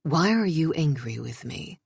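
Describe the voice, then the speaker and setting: An actor voicing a commanding, controlled older royal man in audiobook dialogue, measured and authoritative. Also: on the high side, lively intonation, creaky, breathy edge, no echo, clean studio sound, heavily acted. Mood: stern.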